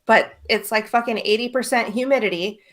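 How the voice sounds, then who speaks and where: A woman's voice: fairly high pitch, brisk. A woman chatting casually on a comedy podcast in a blunt, sweary way. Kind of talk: casual chat, banter.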